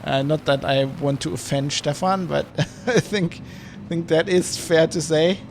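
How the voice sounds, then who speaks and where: A man's voice: fairly high pitch, steady tone, unhurried, vocal fry, a little mellow, a little hiss or hum. A man giving rambling, casual commentary while streaming a flight sim. He restarts and corrects himself often. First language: German.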